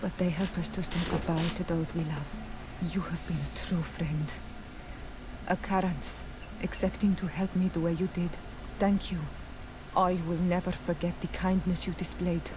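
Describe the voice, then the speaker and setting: A woman's voice: fairly low pitch, level tone, measured pace, background noise, close to an everyday voice. An actress playing an earnest, devoted young native clanswoman in a video game. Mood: solemn, grateful.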